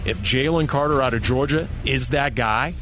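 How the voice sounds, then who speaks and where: A man's voice: medium pitch, moderate pace, noisy background. A man co-hosting a sports talk radio show, analysing in an emphatic way with rhetorical questions.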